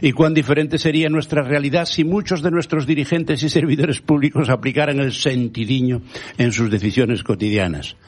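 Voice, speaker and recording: A man whose first language is Galician, hosting a radio show with a measured, rhetorical scripted editorial. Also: medium pitch, breathy edge. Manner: measured, rhetorical, quotes proverbs and thinkers.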